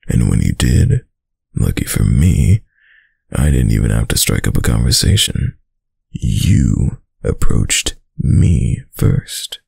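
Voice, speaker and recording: A man playing a dominant, doting young mafia heir in an intimate boyfriend roleplay, with a very deep, gravelly voice and a slow, tough-guy delivery. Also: a little mellow, no echo, studio quality, full character voice. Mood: fond reminiscing. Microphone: close, dry microphone.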